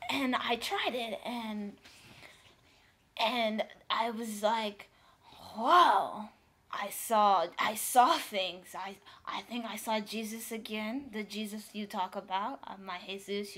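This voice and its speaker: A woman's voice: mid-range voice, slow pace, a bit bright. A woman voicing a naive young Mexican immigrant in an improvised comedy interview, with a Mexican accent and a hesitant, rambling, childlike delivery. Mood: dazed wonder.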